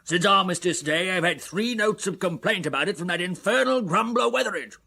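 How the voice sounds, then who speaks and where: An actor playing an exasperated gentlemen's club secretary in a radio drama, wry and grumbling, with an upper-class English accent. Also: male voice, high-pitched, animated, fairly quick, gravelly, exaggerated voice.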